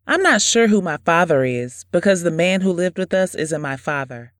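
A woman playing a reserved, quiet young woman in an audio drama. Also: on the low side, sing-song, normal speed, no echo, studio quality. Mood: shy, agreeable.

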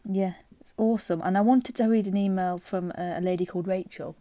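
A woman co-hosting a chatty podcast, lively and quick to laugh. Her voice is mid-pitched and sits close and dry, with no room sound behind it. She moves easily between banter and reading a listener's letter aloud. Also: no room sound. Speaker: podcast co-host. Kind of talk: casual chat and reading a listener email.

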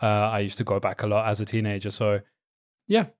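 A man from Belgium who speaks English with a blended English-Belgian accent, talking as a podcast guest in a relaxed, candid way. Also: lowish voice, brisk. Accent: English with a Belgian tinge. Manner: relaxed, candid, laughs easily, swears casually. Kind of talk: casual interview chat.

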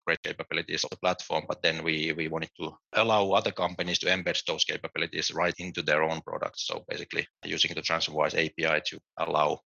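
A middle-aged man speaks in an extremely low, gravelly and flat voice, yet with a sharp, bright edge. He explains things steadily, with small restarts. The sound is dry and a bit dull, like lo-fi remote audio. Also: fast, few pauses, dry recording. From Finland. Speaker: guest, tech executive. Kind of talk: podcast interview answers.

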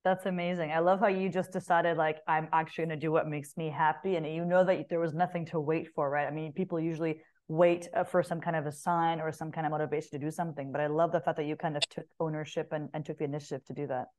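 A woman's voice: deep voice, little pitch movement, quick pace, hardly any pauses, darkish tone. A woman hosting an interview, responding with short encouraging remarks and asking questions. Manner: encouraging, many short affirmations. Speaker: host.

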